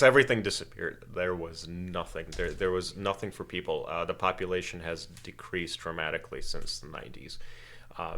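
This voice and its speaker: A man with a very deep voice speaks as a podcast guest from Ukraine, with Russian and Ukrainian as his first languages. He explains his views slowly and carefully, pausing on fillers and restarting his sentences. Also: bright, forceful tone. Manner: measured, hesitant, many uh fillers and restarts. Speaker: interview guest. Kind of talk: casual podcast interview.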